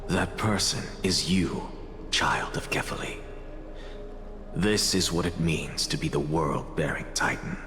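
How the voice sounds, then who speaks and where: An actor playing a proud, brilliant scholar in a video game, lecturing in a grandiose, self-assured manner. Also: male voice, very deep voice, a little slow, noisy background. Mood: solemn, impassioned. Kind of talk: dramatic video game voice acting. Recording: long reverb, music behind. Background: music.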